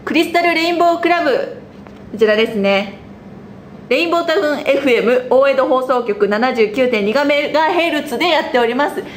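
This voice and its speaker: A woman's voice: high voice, animated, brisk. A young adult woman chatting casually and playfully as a cast member of a live web show, giggly and self-deprecating. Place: small indoor studio with a group. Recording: roomy studio echo, faint noise, lo-fi stream.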